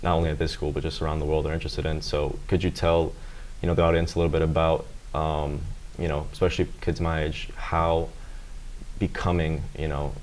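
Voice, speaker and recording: Deep-voiced teenage boy interviewer. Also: level tone, fairly quick, a little mellow, poor audio. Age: teens. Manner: rambling, many fillers and "you know"s. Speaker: student interviewer. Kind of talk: casual interview questions.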